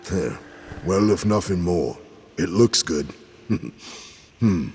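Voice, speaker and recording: An actor playing a steady, cautious warrior companion in a fantasy audio drama, measured and understated with dry humour. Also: male voice, low-pitched, husky, exaggerated voice. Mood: dryly amused. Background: faint music.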